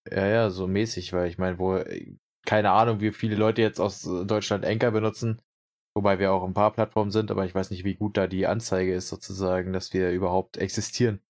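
A man's voice: deep voice, flat delivery, brisk, darkish tone. A young man from Brandenburg co-hosting a podcast, chatting casually and rambling with many fillers. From Brandenburg, Germany. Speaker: podcast co-host.

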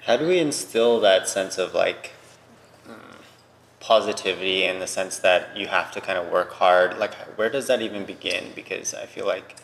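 A man hosting a podcast, asking questions in an inquisitive way and offering soft backchannels. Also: deep voice, normal speed, creaky. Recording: reverberant room with faint background noise.

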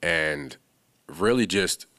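A man's voice: low-pitched, expressive, extremely slow, pushed and bright, no room sound. A laid-back young man from the Bahamas, a music producer talking casually as a podcast guest, with lots of slang and fillers.

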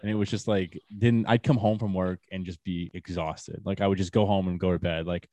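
A young man hosting a podcast talks in a relaxed, candid way and swears casually. His voice sits fairly low, and the sound is close and dry. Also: fairly quick, dry recording. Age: young adult. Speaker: podcast host.

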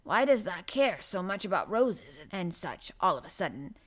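A woman's voice: on the low side, expressive, a little slow, a bit bright. A woman voicing a grumpy old male gardener with a Yorkshire dialect and a grumbling manner, in an audiobook. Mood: slow, gruff. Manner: grumbling, grunting, dialect speech. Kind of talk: audiobook character voice.

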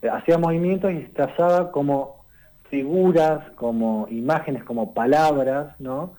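A man, giving a conversational radio literature column and explaining things unhurriedly, often pausing on "eh" and tagging his sentences with "¿no?". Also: on the high side, mellow, poor audio. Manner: unhurried and explanatory, tags lines with "¿no?" and "eh".

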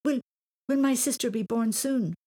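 A woman's voice: on the high side, normal speed, dark tone, pitched above the performer's own voice, natural voice. A woman voicing an earnest, anxious young boy who gets easily upset, in a children's audiobook. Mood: tearful wonder. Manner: exclaiming, plaintive, hesitant.

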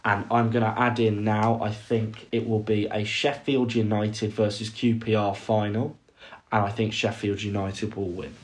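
A man's voice: lowish voice, little pitch movement. A man co-hosting a podcast, chatting casually and talking through his views at length, often breaking off into asides. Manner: opinionated, quick and talkative, trails into asides. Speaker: podcast co-host. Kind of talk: casual football chat.

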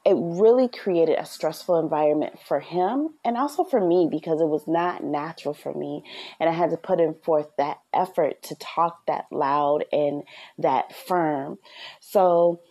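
Soft-spoken, earnest woman podcast host, a bit low-pitched, chatty parenting advice. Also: unhurried. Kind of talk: casual advice talk.

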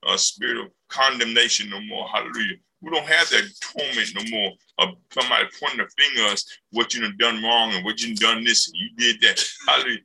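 A man preaching a fervent sermon, punctuating nearly every phrase with hallelujah and swinging between loud and soft. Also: medium pitch, fairly quick, vocal fry, husky, rough recording.

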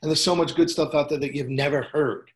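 A man with a gravelly voice, a songwriter chatting casually as a guest, telling rambling stories at home. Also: a little high, fairly quick. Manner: relaxed, rambling storytelling. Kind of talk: casual chat. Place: at home with guitar.

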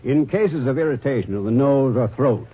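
A man reading a doctor's statement in a 1940s radio commercial, measured and formal. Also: mid-range voice, expressive, slightly rough. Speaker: ad voice quoting a doctor. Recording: tinny old radio broadcast.

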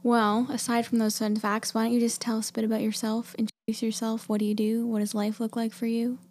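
A woman's voice: on the high side, monotone, brisk, darkish tone. A woman hosting an interview, asking questions in a casual, chatty style. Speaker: interviewer, host.

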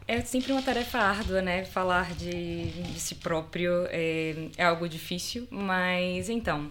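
A woman from Salvador, Bahia, with a Brazilian accent, a lawyer guesting on a casual podcast and chatting in a relaxed, anecdotal way. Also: fairly low pitch, unhurried, a little hiss or hum. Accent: Brazilian Portuguese, Bahia. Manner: relaxed, chatty, laughs easily, tells anecdotes. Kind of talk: casual chat.

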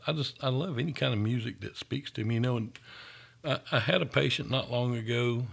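Gravelly, husky man telling stories earnestly. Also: mid-range voice, fairly quick, a bit bright. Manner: earnest storyteller, easygoing. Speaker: paramedic, podcast host. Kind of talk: casual storytelling chat.

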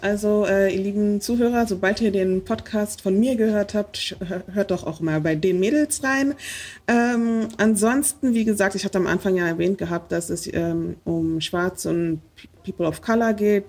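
A woman's voice: mid-range voice, moderate pace, a little mellow. A woman hosting a casual podcast interview, talking with many hesitant fillers. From Germany. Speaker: podcast host.

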